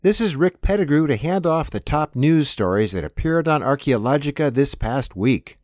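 A man's voice: medium pitch, darkish tone, no room sound, clean studio sound. A man hosting a news program, reading a scripted introduction addressed to the listeners. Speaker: podcast host introducing news.

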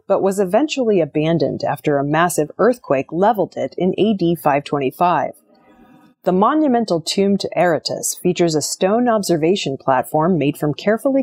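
A woman's voice: lowish voice, a little mellow. A woman reading scripted news stories as a news presenter. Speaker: news reader.

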